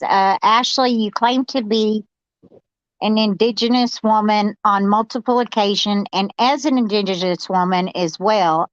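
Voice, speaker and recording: A woman co-host reading viewer comments aloud in a deliberate way over a lo-fi connection. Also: mid-range voice, dry recording. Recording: dull, dry lo-fi connection.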